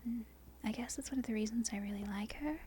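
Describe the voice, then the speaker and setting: A woman from Australia with a softened Australian accent, whispering an unscripted ASMR ramble in a halting, breathy way, often correcting herself. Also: on the high side, a little hiss or hum. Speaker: amateur ASMR video creator. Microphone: non-binaural microphone.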